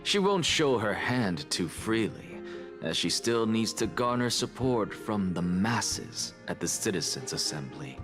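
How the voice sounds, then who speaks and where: A proud, impatient scholar in a fantasy video game. His lowish voice swings expressively through haughty, clipped and precise phrases, with music clearly playing underneath. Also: very animated, a little slow. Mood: calculating, firm. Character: arrogant, blunt, brilliant male scholar, impatient and proud.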